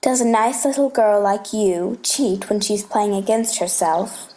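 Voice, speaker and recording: A woman voicing a gentle scholarly uncle, patient and teasing as he explains things in a storybook play reading. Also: medium pitch, unhurried, natural voice.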